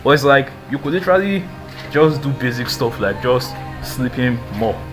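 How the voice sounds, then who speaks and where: A man's voice: medium pitch, animated, moderate pace, music in the background. A twenty-year-old man giving casual life advice in a rambling, slangy way, full of "like" and "you know". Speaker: vlogger.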